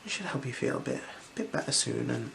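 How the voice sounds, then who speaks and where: A gentle, unhurried ASMR performer speaking softly and slipping into whispers while role-playing an eye examiner. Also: medium pitch. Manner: gentle, unhurried, soothing instructions. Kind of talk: ASMR role-play, soft-spoken with whispers. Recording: clear background noise.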